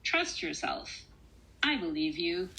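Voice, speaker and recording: Slow, bright-voiced woman reading to children. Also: fairly low pitch, sing-song, creaky, rough recording. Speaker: children's author reading her own story. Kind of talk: storybook narration for children.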